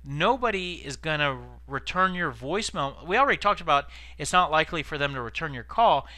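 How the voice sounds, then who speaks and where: A man's voice: fairly high pitch, expressive, a little fast, pushed and bright, dry recording. A man giving informal instructional tips as a sales trainer, explaining conversationally and stressing key words.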